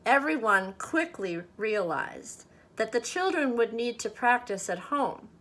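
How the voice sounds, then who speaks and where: A woman reading a children's picture book aloud expressively, with measured, dramatic phrasing meant for young listeners. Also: medium pitch, bright, forceful tone. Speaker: storybook reader.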